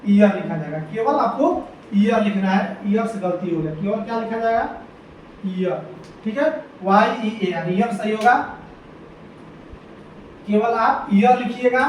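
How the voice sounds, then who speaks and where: A man with a very high voice teaches grammar, drilling each rule and asking students questions again and again. He sounds far from the mic in a very echoey room, with a faint hiss behind him. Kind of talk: exam-prep grammar lesson.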